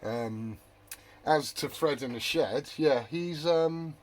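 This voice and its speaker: A man's voice: on the high side, takes it slowly. A man chatting casually as a hobby radio operator, rambling and often correcting himself mid-thought.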